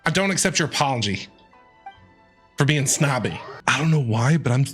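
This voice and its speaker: A woman's voice: rumbling low, expressive, normal speed. A woman streamer giving casual, sassy game commentary and playfully talking back to the characters. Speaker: gaming streamer. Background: game background music.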